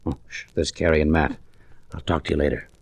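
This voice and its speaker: Deep, quick-talking guarded businessman. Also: little pitch movement, slightly rough, no echo, heavily acted. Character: brusque, guarded businessman in a mystery. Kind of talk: 1940s radio acting. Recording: dull old radio recording.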